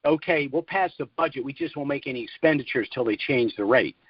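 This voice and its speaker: A man's voice: mid-range voice, quick pace, slightly rough, no echo. A man hosting a call-in conference, giving opinionated, emphatic and argumentative commentary in a conversational way over a phone line. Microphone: telephone conference line.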